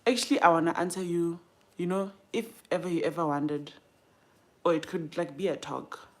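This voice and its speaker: A woman's voice: on the low side, steady tone, moderate pace. A young woman with a South African accent chatting casually and informally to livestream viewers, thinking aloud and trailing off mid-thought. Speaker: livestream host. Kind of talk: casual chat while doing makeup.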